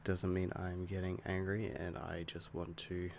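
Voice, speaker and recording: Werewolf boyfriend played by a man, ASMR roleplay: young, protective, quick-tempered but loving; low voice, unhurried, hesitant. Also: little pitch movement, vocal fry, a little mellow, slight background noise. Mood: irritated, jealous. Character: affectionate young male werewolf boyfriend, easily angered, protective. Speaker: roleplay audio performer.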